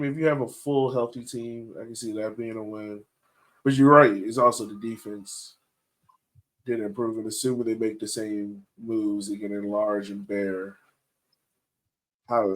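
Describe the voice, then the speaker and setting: Male sports podcast host, medium pitch, informal and off-the-cuff, thinking aloud in relaxed conversation. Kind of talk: casual sports podcast chat.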